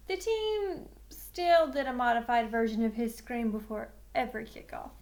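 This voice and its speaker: A woman with a high voice reads a children's novel aloud. Her delivery is expressive and shaped as storytelling. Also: moderate pace. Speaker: teacher reading a book aloud.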